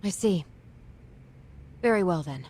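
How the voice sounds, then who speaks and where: A woman's voice: on the low side, animated, takes it slowly, darkish tone. An actress playing an earnest, strong-willed female homeroom teacher with a pointed, formal manner in a video game drama. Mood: guarded, concerned. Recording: music behind the voice.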